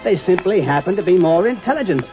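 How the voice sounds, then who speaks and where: An actor playing an earnest, idealistic professor driven to desperation in a 1950s radio drama, arguing intensely. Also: male voice, sits high, expressive, fast, soft background music. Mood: earnest, pleading. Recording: muffled old radio recording.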